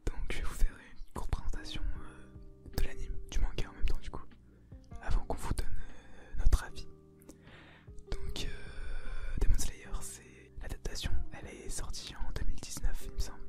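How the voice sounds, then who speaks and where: A young adult whispers a casual anime review as co-host, in informal youth slang. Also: moderate pace. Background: music.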